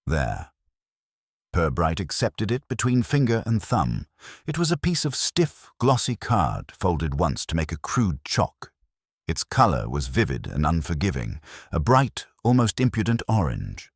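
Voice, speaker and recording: A man reading scripted story narration in a measured, literary storytelling manner. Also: sits low, very animated, husky, no echo, studio quality.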